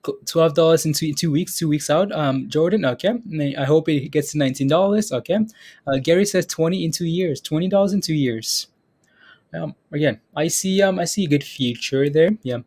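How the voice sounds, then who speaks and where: A man's voice: on the high side, brisk, darkish tone. A man with a slightly raised voice hosts a live market show, talking loosely and repeating himself as he answers viewers. He laughs easily and keeps checking in with his audience. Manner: chatty, repeats phrases, reads chat names aloud, laughs often. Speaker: stock trading livestream host. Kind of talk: casual live market commentary.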